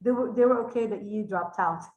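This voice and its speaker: A woman's voice: medium pitch, fairly quick, darkish tone, roomy sound, highs cut off. A woman with a Filipino accent, hosting a casual podcast interview and chatting through her questions. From Philippines. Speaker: podcast host.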